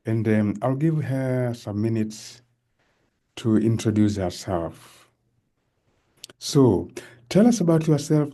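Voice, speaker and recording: A man's voice: fairly low pitch, dark tone. A man hosting an interview show, chatting with his guest in an enthusiastic way. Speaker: host. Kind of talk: interview chat.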